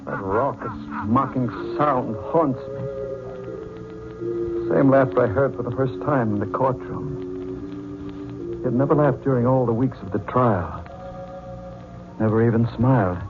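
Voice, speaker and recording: A man's voice: mid-range voice, a little slow, pauses often, lightly acted. A man playing a brooding, haunted judge with a formal, dramatic delivery in 1940s radio style. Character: haunted, brooding male judge telling his story. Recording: muffled old radio, loud music behind.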